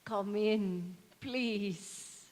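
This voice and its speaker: A woman's voice: mid-range voice, drawn-out words, a little mellow. A woman acting a matter-of-fact, curt member of a household in a stage radio drama. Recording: echoey hall with long reverb.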